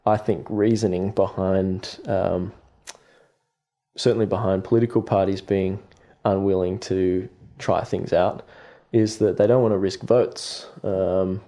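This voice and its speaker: Thoughtful Australian man, low mellow voice. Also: steady tone, a little slow. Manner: hesitant, many fillers and restarts. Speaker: guest artist. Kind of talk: reflective podcast conversation.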